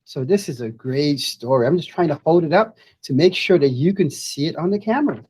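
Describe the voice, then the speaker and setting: Female storybook reader, slightly low register, very expressive swings, unhurried and deliberate. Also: slightly rough. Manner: expressive, deliberate, pauses to comment to viewers. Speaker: educator reading aloud. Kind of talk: children's storybook read-aloud.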